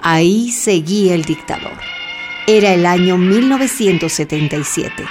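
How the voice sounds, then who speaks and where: A woman's voice: lowish voice, normal speed, over music. A woman narrating a scripted radio drama.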